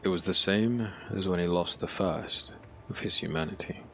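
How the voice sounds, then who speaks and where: A man narrating a dark fantasy audiobook in a solemn, dramatic, scripted style. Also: low voice, normal speed, gravelly, slight background noise. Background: background music.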